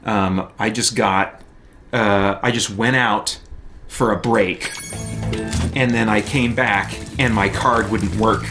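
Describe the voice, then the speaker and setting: A man's voice: fairly low pitch, steady tone, slow pace, pushed and bright, soft background music. A man hosting a livestream, chatting casually while a video game is played. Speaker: livestream host.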